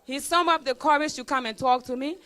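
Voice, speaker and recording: High, bright-voiced woman speaker. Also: brisk. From Liberia. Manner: emphatic storyteller, repeats key phrases. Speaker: student speaker at a celebration. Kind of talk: motivational speech with a personal story.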